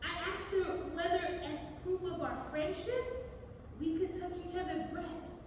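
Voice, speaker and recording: A woman performing a theatrical diary monologue as a curious, dreamy young teenage girl, halting and confessional. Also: extremely high pitch, fairly even intonation. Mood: shy confiding. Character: confiding, curious thirteen-year-old diarist girl, dreamy and breathless. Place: library district theatre stage. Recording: echoey theatre, distant mic, clear noise.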